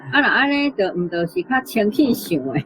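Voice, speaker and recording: A woman's voice: medium pitch, expressive, a little fast, noisy background. Taiwanese-accented woman teaching over video call. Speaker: language teacher giving feedback. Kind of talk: conversational explanation. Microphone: remote video call.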